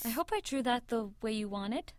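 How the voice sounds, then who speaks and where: A woman's voice: a little high, lively intonation, normal speed, lightly acted. A woman playing a nervous young female tattoo artist in a radio drama, informal and self-conscious, both narrating and in dialogue. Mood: shy, nervous. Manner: informal, hesitant in dialogue, confiding when narrating. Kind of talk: radio drama acting with first-person narration.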